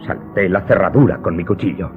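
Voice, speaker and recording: A man acting in a radio drama as a vengeful Corsican servant confessing to his master, telling his tale deliberately and dramatically with emphatic outbursts. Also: low voice, lightly acted. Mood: tense recounting. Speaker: voice actor in an audio drama. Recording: dull, muffled audio with loud music underneath.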